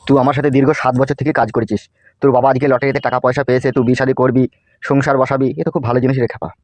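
A man's voice: mid-range voice, level tone, very fast, a little mellow, no echo, natural voice. A man playing a bossy, scheming, foul-mouthed gangster politician in a comedy cartoon. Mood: falsely kind. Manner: bossy, slangy, mock-sweet when coaxing.

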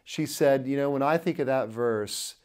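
A man's voice: mid-range voice, normal speed, a little mellow, clean tone. A man in his thirties preaching a sermon to camera, earnestly telling a personal story while gesturing, recorded in an indoor studio on a lapel mic.

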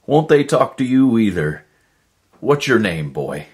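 A man's voice: mid-range voice, sing-song, unhurried, slightly rough, voice pushed up, heavily acted. A man voicing a lonely, desperate man in a story, earnest and pleading. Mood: delighted, excited.

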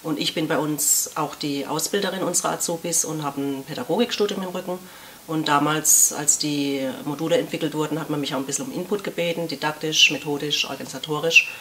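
A woman who trains apprentices at a music store speaks to camera in a measured, explanatory way. Her voice sits low but sounds bright and forward. A faint hiss runs under the recording. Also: steady tone. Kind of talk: testimonial to camera. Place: indoor room with a piano.

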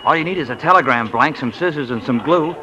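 An actor playing a breezy, wisecracking office prankster in a 1940s radio play. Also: male voice, medium pitch, quick pace, pushed and bright, muffled recording, close to an everyday voice. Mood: scheming. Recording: noisy vintage radio broadcast.

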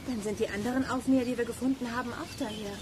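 A woman's voice: on the high side, steady tone, racing, a little mellow, lightly acted. An actress playing a sensible, take-charge girl detective in a children's radio play. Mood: brisk, reasoning. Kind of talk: German audio drama acting. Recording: noisy outdoor ambience.

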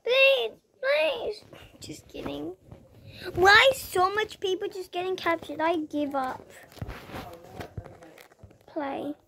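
Slow-talking teasing young girl. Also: very high voice, lively intonation, vocal fry, pushed and bright, a little hiss or hum. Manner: teasing, bossy, giggly. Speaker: gamer. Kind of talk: casual gameplay chat.

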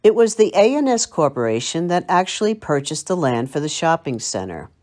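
An older American woman reads scripted narration as a local history presenter. Her voice sits a little low and moves up and down a great deal in pitch. She tells it like someone reminiscing. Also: sing-song, brisk, a little mellow. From Long Island, United States. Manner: storytelling, reminiscing.

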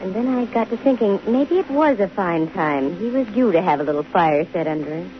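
A woman's voice: medium pitch, animated, a little fast, lightly acted. An actress playing a bold, dryly wisecracking female private investigator in a 1950s radio detective drama. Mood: wry, brisk. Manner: dry, wisecracking. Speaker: lead actress and first-person narrator of a detective radio show. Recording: hissy, muffled old radio recording.

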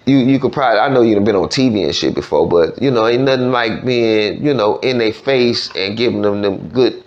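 A man's voice: fairly low pitch, brisk. A man with a Southern US accent hosting a casual interview in loose, slangy talk full of verbal tags. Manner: slangy, frequent "you know what I'm saying". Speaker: podcast host interviewing. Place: indoor studio desk. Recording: dull, lo-fi studio recording.

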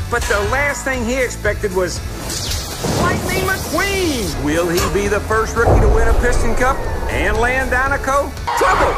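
An actor playing an excitable male race commentator calling the action, from a film soundtrack with loud music. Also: extremely high pitch.

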